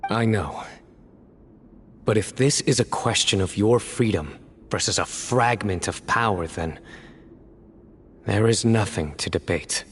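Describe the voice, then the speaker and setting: An actor playing a stern young male judge who speaks in a resolute, measured way, in a game cutscene. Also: on the low side, slight background noise, lightly acted.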